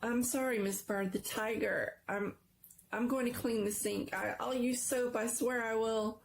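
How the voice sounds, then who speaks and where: A woman's voice: a little high, steady tone, unhurried, gravelly, slight background noise, voice pushed up. A woman voicing a timid, weepy young schoolboy in a dramatic story reading, speaking urgently and stammering. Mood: scared, apologetic. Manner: urgent, stammering, repeating himself.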